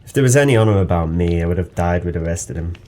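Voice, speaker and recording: Very deep-voiced, brooding drunken sailor. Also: male voice, expressive, rapid-fire, dark tone. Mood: bitter, resigned. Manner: brooding, poetic, theatrical monologue. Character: guilt-ridden drunken former sailor, bleak and bitter. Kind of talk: audio drama acting.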